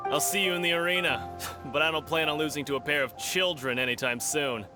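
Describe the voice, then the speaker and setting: A man's voice: fairly high pitch, fairly quick, lightly acted. A man voicing a boastful, cocky amateur brawler who tells his tales with swagger in an anime game. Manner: bragging, swaggering storytelling. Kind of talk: anime game character dialogue. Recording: game music behind the voice.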